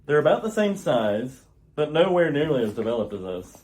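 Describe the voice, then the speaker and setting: A man's voice: a little high, sing-song, moderate pace, darkish tone. A man playing an earnest, urgent alien scientist, husband and father, with grave, theatrical delivery in old-style radio theater. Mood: tender, earnest. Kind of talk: retro radio theater acting.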